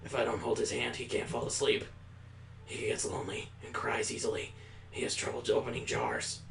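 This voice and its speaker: Man doing a soft, blunt little girl. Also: medium pitch, little pitch movement, fairly quick, vocal fry, echoey room, far from the mic, slight background noise, voice pushed down. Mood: matter-of-fact.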